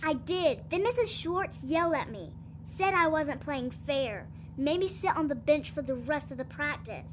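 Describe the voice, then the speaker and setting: Casting: female actor for sulky little daughter, petulant high voice, slight background noise, audio drama. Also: animated, moderate pace, hardly any pauses. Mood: indignant, sulky. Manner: whiny, petulant. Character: sulky, indignant young schoolgirl daughter. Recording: faint hum and noise.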